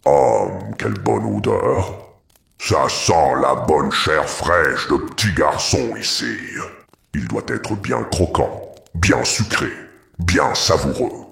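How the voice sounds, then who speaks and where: A man voicing a huge, hungry ogre giant with a booming, growling voice in a children's cartoon fairy tale. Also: sing-song, creaky, fairly bright tone, echoey room, exaggerated voice. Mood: greedy, gloating. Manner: slow, gloating, theatrical.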